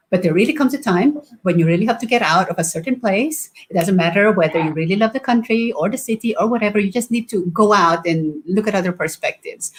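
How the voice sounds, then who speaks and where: A woman from the Philippines talking casually as an interview guest, candid and lighthearted. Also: mid-range voice. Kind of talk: casual conversational talk.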